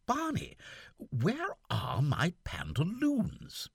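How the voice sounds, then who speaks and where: A man voicing an excitable young army lieutenant who keeps exclaiming in exasperation, in an audiobook. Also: high voice, very slow, gravelly, husky, no room sound, clean studio sound, voice pushed up, exaggerated voice. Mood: exasperated. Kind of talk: audiobook character voice.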